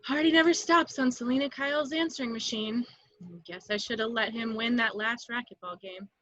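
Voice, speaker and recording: A woman's voice: on the high side, moderate pace, sharp tone. A woman playing a lonely, self-mocking young secretary with sardonic delivery in an amateur read. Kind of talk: amateur table read acting. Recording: echoey, muffled home microphone.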